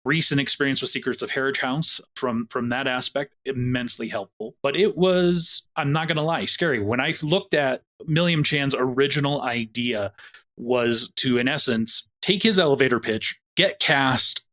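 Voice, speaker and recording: A man's voice: medium pitch, moderate pace, a bit bright. A man speaking candidly as a producer in a podcast interview, often restarting his sentences. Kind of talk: casual interview talk.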